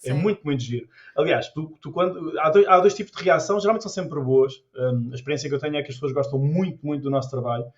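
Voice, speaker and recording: Man, European Portuguese accent, fast, animated storyteller, muffled lo-fi sound. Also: fairly high pitch. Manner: animated storyteller with many restarts. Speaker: podcast guest, tour guide. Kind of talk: casual interview chat.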